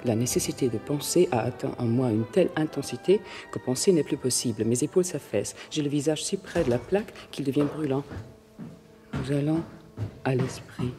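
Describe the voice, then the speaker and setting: An actor playing an intense, exhausted narrator lost in thought in a literary radio monologue. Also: male voice, medium pitch, brisk, over music, close to an everyday voice. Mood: brooding.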